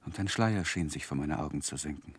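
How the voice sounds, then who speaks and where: A low-pitched, closely recorded gentleman narrator in a radio drama. He is sensitive and measured, with deep feeling weighing on his dramatic delivery of painful memories. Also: slightly rough, dry recording. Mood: sorrowful, haunted. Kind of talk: 1960s German radio play narration.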